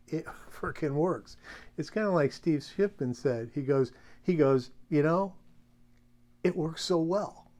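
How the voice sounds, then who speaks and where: A man's voice: a little high, darkish tone. A middle-aged American man giving casual sales tips in a rambling, folksy way, leaning emphatically on his key points. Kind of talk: casual instructional monologue.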